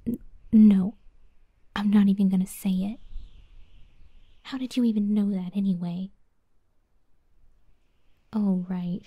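A woman playing a proud, grudging scorpion monster girl in a soft, breathy, whispered ASMR roleplay. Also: medium pitch, no echo. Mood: flustered. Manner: grudging, flustered, haughty.

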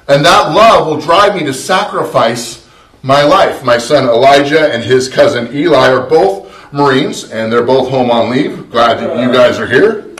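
A man's voice: fairly high pitch, fairly bright tone. A middle-aged man preaching a sermon as pastor of a small church, earnest and conversational, often restarting words. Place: small church sanctuary, indoors. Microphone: far camera, no visible mic. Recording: roomy echo from far off.

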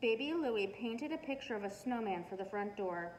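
Female storyteller, highish voice, expressive picture-book read, noisy living room recording. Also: moderate pace. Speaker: teacher reading aloud. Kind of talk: children's storybook read-aloud.

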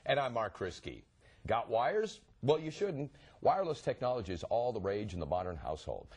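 A man's voice: fairly low pitch, big pitch swings, normal speed, darkish tone, husky. A man co-hosting a TV program with a scripted introduction. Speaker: program co-host.

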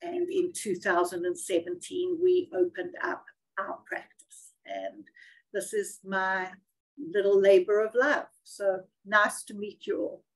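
A middle-aged woman with a clear South African accent speaks as an immigration attorney on a webinar. Her delivery is earnest, measured and professional. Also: medium pitch, very animated, poor audio. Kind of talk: webinar self-introduction.

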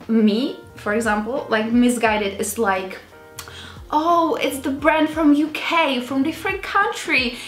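A woman's voice: high-pitched, a bit bright. A woman in her twenties with a Czech accent, chatting casually to camera in a rambling, self-mocking way as a video blogger. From Czech Republic. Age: 20s. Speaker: video blogger presenting a clothing haul. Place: small indoor beauty room with vanity. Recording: roomy sound, music underneath.

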